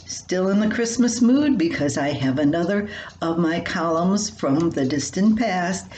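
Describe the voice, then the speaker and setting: An older woman with a fairly low voice reads a personal column aloud like a folksy storyteller. Her delivery is expressive and homespun. The recording is quite roomy and echoey, with faint noise. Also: a little slow. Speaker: columnist reading her own writing. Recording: roomy echo with faint hiss.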